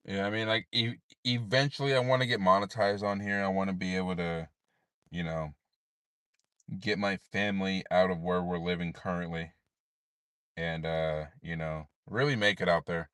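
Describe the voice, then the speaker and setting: A tired man with a deep voice, rambling in a laid-back way with many fillers while livestreaming from his parked car at night. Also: steady tone, normal speed, a bit bright, smooth, no room sound, studio quality. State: tired, unable to sleep. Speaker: streamer. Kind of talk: late-night livestream chat.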